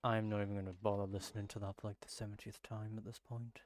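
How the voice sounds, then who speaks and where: A man's voice: on the low side, flat delivery, quick pace, a little mellow, slight background noise. A man giving casual live commentary while playing a game, muttering and thinking aloud. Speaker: game streamer.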